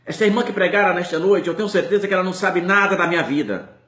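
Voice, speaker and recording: A man's voice: sits high, expressive, quick pace, fairly bright tone. Male preacher, Brazilian Portuguese accent, fervent swelling delivery, echoey church sound. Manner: impassioned, rising and falling preaching. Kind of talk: sermon.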